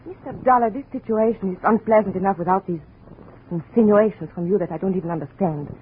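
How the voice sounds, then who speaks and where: An actress playing a haughty, ambitious young daughter in a 1950s radio drama, speaking formally and with curt indignation. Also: female voice, mid-range voice, fast talker, natural voice. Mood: indignant. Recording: muffled, noisy old radio recording.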